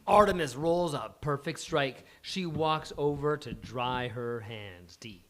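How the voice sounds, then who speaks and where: A man's voice: medium pitch, slow pace, fairly bright tone. A man reading scripted stage directions as narrator of a live comedy table read.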